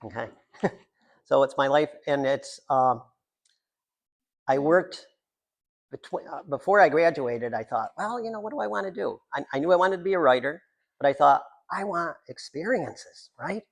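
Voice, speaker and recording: A man's voice: fairly high pitch, lively intonation, normal speed, gravelly. A man, a writer, reading his own work aloud at a live literary reading, with rambling, self-deprecating, jokey asides.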